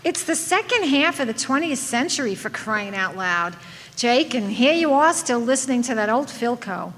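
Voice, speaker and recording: A woman's voice: fairly high pitch, very animated, moderate pace, a bit bright. A woman playing a private eye's sassy, caring sweetheart in an old-time radio drama, with a teasing manner. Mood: teasing, exasperated. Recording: echoey hall, background noise.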